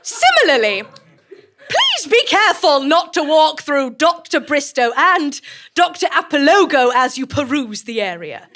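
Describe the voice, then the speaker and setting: High-voiced, unhurried science director. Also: female voice, very animated, a bit bright, heavily acted. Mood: calm, regretful. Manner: polite, formal, measured, understated. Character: genial, unflappable female director of a mad science institute. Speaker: research institute director addressing staff. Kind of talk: comic audio drama speech.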